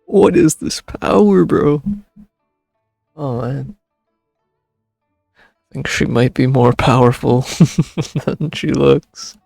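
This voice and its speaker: A man's voice: medium pitch, lively intonation, takes it slowly, gravelly, long pauses, darkish tone, dry recording, soft background music. A man giving casual, slangy, laid-back reactions as he streams a game. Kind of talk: casual gameplay reaction commentary.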